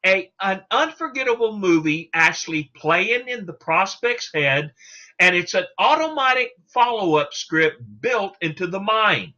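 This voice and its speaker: A man's voice: high-pitched, lively intonation, unhurried, sharp tone. A man giving an instructional talk as a sales coach, emphatic and salesman-like, putting rhetorical questions to the listener. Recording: dull, lo-fi sound.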